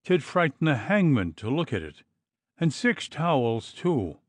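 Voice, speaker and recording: A man's voice: on the high side, very animated, a little slow, dry recording, voice pushed up. A man reading an audiobook, voicing a gossipy maidservant in a gushing, dramatic manner. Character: gossipy Irish maidservant, woman.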